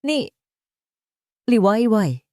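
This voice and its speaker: A courteous, lovelorn billionaire man from a romance story, voiced by a woman narrator. He is soft-spoken and wistful. The recording sounds dry and close. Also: a little high, very animated, extremely slow, dry recording, pitched above the performer's own voice, exaggerated voice. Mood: wistful longing.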